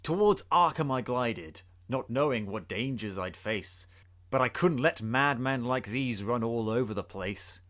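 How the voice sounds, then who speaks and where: A man's voice: medium pitch, lively intonation, measured pace, a bit bright. A man giving a theatrical, suspenseful dramatic reading of a rhyming story. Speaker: podcast host.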